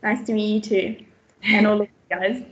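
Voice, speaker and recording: A woman's voice: medium pitch, steady tone, moderate pace. A young woman from Italy, a student ambassador, giving a cheerful brief introduction. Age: young adult. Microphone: video call. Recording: dull video-call audio.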